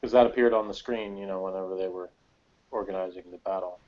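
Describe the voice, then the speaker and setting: A man's voice: sits low, steady tone, fast talker, darkish tone, muffled recording. A man giving his opinions in a casual fan discussion, sceptical and a little hesitant in his phrasing.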